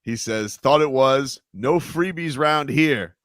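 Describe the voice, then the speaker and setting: A man's voice: medium pitch, slow, a bit bright, no room sound, studio quality. Rambling sports radio host man. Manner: rambling, filler-heavy, laughing. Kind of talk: casual sports talk. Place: radio studio. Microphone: studio mic.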